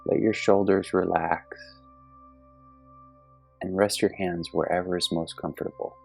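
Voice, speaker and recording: A man with a very low, slightly creaky voice guides a meditation in a calm, gentle manner. He gives measured instructions with long pauses between phrases, over soft background music. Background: soft meditation music with singing bowl tones.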